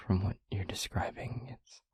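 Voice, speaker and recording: A man playing a gentle, concerned vampire boyfriend in an intimate audio roleplay, speaking softly and haltingly with a breathy, husky voice. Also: no room sound, whispered, close to an everyday voice. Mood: gentle, reassuring. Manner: soft, hesitant, halting, reassuring.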